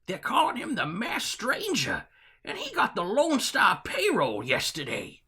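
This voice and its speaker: An actor playing a worried, agitated deputy in a comic western, with a husky voice and folksy cowpoke talk. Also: medium pitch, huge pitch swings, unhurried, sharp tone, exaggerated voice. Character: worried, agitated rustic deputy of the Old West. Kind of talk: western comic audio drama.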